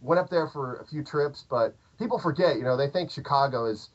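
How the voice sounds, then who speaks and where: A man's voice: medium pitch, brisk. A man in his thirties with a southern Illinois accent, chatting casually as a podcast guest, rambling with many fillers and restarts. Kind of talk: casual interview chat. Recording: dull lo-fi podcast audio.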